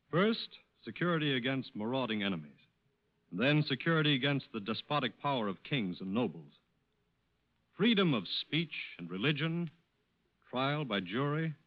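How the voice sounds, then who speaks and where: A man announcing in a grand, oratorical 1940s radio style, reading a scripted sponsor message. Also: mid-range voice. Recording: muffled old radio recording.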